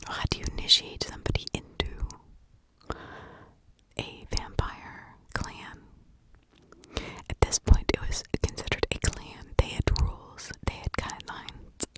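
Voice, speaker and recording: Woman, breathy whisper, ASMR true crime narration, slow pace, short halting phrases, frequent pauses.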